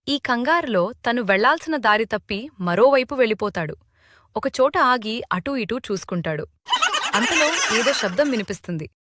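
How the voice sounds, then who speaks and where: A woman's voice: a little high, fairly bright tone, no room sound, studio quality. A woman narrating a children's moral tale as a scripted storyteller.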